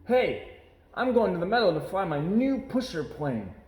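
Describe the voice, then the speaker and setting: Casting: excitable storybook brother cub played by a man, high, very animated, keen and boyish. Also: normal speed, echoey room, low-quality recording. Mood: eager. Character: Brother bear, eager, excitable young boy cub. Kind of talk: children's picture book read-aloud.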